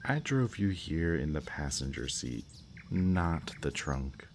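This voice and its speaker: Guy doing a really deep slow villain voice, smug flirty kidnapper talking right to you, roleplay audio, faint crickets n birds. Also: big pitch swings, slight background noise, heavily acted. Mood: smug, menacing. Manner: teasing, unhurried, softly menacing. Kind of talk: roleplay audio drama, intimate monologue to the listener. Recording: outdoor night bird and insect ambience. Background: birdsong and crickets at night.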